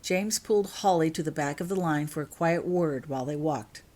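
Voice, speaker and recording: A woman's voice: low-pitched, moderate pace, warm tone. A woman reading her own fan fiction aloud as scripted storybook narration.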